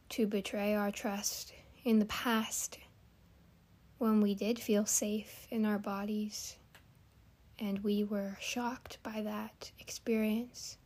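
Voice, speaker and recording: Lady leading a guided meditation, really slow n mellow, gentle, leaves long pauses. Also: medium pitch.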